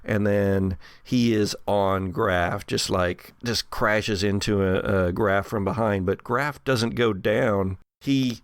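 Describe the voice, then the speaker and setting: A man's voice: lowish voice, slow, few pauses, smooth, no echo. A man improvising narration as game master of a horror tabletop game.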